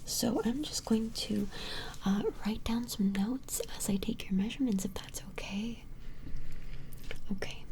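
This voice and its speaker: A woman voicing a flustered, smitten vampire in an ASMR roleplay, whispering hesitantly and apologetically in a breathy voice. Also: fairly high pitch, steady tone, slight background noise. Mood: flustered, polite. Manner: hesitant, apologetic, halting, softly attentive. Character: flustered, smitten female vampire measuring a strange creature. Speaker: ASMR performer.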